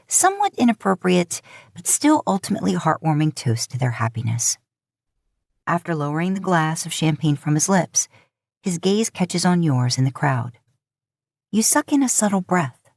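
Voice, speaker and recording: A woman narrating scripted romantic fiction in a measured, expressive storytelling manner. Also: deep voice, no echo, studio quality. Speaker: podfic narrator.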